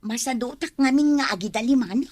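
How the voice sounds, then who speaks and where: A woman's voice: sits high, a little fast, a little mellow, dry recording. A woman with an Ilocano accent playing a struggling young mother, pleading and defensive, in a radio drama. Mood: complaining.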